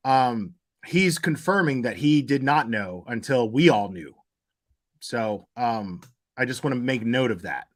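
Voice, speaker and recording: A man's voice: medium pitch, animated, unhurried. Casual, blunt man hosting a live stream. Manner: blunt, informal, swears. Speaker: live stream host reacting to a video.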